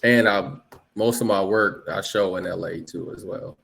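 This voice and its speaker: Relaxed low-voiced man from Los Angeles. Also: moderate pace, vocal fry. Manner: relaxed, rambling, many fillers and restarts. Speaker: guest artist. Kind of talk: casual interview.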